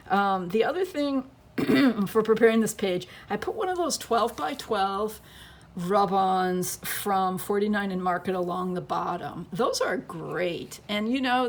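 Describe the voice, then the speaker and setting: A woman's voice: mid-range voice, a little hiss or hum. A woman who is losing her voice gives a chatty scrapbooking tutorial, thinking aloud as she works at her crafting table.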